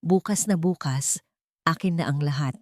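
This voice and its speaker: A woman's voice: sits low, moderate pace, dry recording, studio quality, close to an everyday voice. A woman narrator voicing a greedy, deceitful young husband in a story drama, sly and conspiratorial. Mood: gloating.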